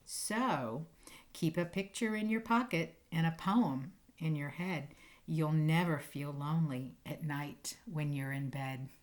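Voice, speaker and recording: A woman's voice: sits low, takes it slowly. A woman speaking like an encouraging teacher to children, reading poems expressively and chatting casually in a playful, enthusiastic way. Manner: playful, animated, emphatic on sound words.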